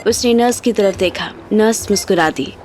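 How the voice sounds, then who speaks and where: A woman's voice: medium pitch, moderate pace, darkish tone, slight background noise. A woman reading scripted narration as the storyteller of an audio drama. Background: background music.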